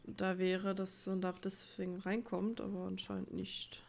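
Woman musing with a creaky edge. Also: medium pitch, little pitch movement, a little slow, darkish tone, slight background noise. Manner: musing, thinking aloud. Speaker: let's player. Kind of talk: casual gameplay commentary.

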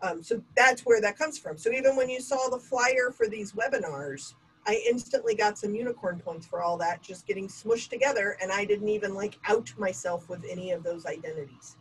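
A woman's voice: mid-range voice, a little fast, a little hiss or hum, low-quality recording. A woman in her forties leading a diversity webinar over a video call, talking candidly with self-mocking asides. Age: 40s. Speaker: diversity trainer. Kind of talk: webinar lecture. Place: home room with bookshelf and bokeh lights. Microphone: webcam video call.

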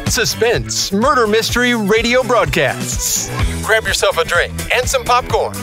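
Very high-pitched male intro announcer. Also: lively intonation, unhurried. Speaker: channel announcer. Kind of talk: scripted promotional intro. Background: music.

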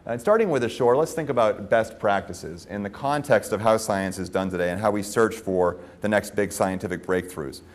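A man in his forties opening a conference panel as moderator, speaking in a measured, articulate way on a lapel mic. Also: lowish voice. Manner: measured, articulate, with frequent "uh" fillers. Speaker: moderator, professor. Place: conference stage.

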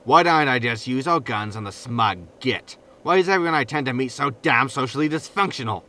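An actor playing a grumpy, indignant disgraced spaceship captain in a sci-fi comedy audio drama, peevish and sarcastic. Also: male voice, mid-range voice, animated, fairly quick, sharp tone, slight background noise. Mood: weary, exasperated.

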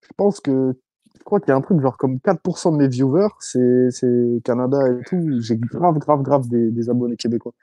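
A man from France answers casual interview questions over a video call. His voice is mellow and dry, and he hesitates often, restarting sentences in loose slang. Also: medium pitch, normal speed, dry recording, poor audio. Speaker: YouTuber guest.

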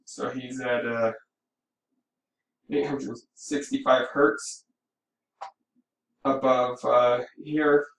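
A man giving a casual, explanatory software walkthrough as a hobby radio operator, thinking aloud as he goes. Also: mid-range voice, level tone, extremely slow, creaky, pauses often. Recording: roomy, distant mic with background noise.

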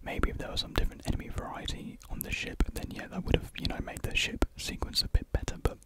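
A young man speaks in a breathy whisper close to the microphone, rambling softly and hesitantly in a casual ASMR chat. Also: fast talker. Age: young adult. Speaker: ASMR video creator. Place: small room with painted block walls. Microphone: large desktop USB condenser microphone, close up.

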